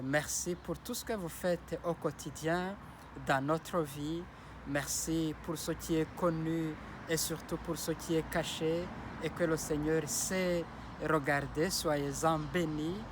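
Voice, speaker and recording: Man, parish priest, native Kinyarwanda or Kirundi speaker, measured and solemn, slightly raised pitch, faint traffic behind. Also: fairly even intonation. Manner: slow, solemn, repeats blessing phrases. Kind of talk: Sunday homily.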